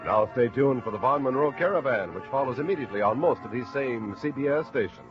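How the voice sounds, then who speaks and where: A man reading scripted 1950s network radio announcements in an earnest, measured and formal way. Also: mid-range voice, lively intonation. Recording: dull old radio recording with music.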